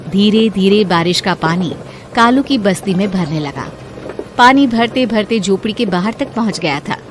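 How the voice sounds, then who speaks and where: A woman's voice: mid-range voice, lively intonation, moderate pace, noisy background. A woman storyteller reading scripted narration for a moral tale.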